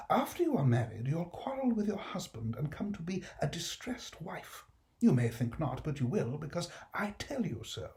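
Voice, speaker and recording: A man's voice: on the high side, mellow. A man playing a smug, condescending alderman who lectures the poor with a chuckle. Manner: patronizing, jovial, chuckling. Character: smug pompous male alderman, condescending magistrate.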